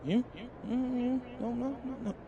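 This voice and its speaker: A man's voice: very high voice, steady tone, measured pace, creaky, muffled recording, crowd noise, full character voice. A man playing a cocky, self-assured young pro basketball player in a video game. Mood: dismissive, incredulous.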